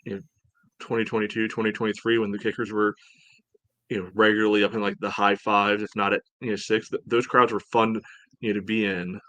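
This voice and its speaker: Male co-host, rapid casual sports chat, lowish pitch, very dry close sound, informal. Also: flat delivery, sharp tone, no room sound. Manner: informal, peppered with "you know". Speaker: podcast co-host.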